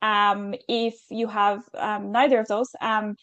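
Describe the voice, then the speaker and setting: A woman's voice: medium pitch, little pitch movement, takes it slowly, a bit bright, no echo, dull audio. Brief Irish woman, webinar host, chatty and reassuring, dry close sound. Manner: chatty, filler-heavy, reassuring. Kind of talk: webinar Q&A, reading out questions.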